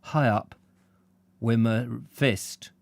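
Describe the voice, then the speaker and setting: A man voicing a sulking rustic village cricketer who speaks haltingly in broad dialect. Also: medium pitch, steady tone, dry recording. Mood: sullen.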